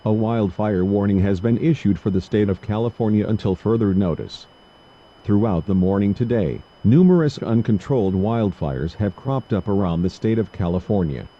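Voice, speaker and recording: A man playing a formal, detached announcer with a husky voice, reading a scripted emergency warning. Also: on the low side, expressive, moderate pace. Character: detached official weather service alert announcer. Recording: dull lo-fi broadcast audio.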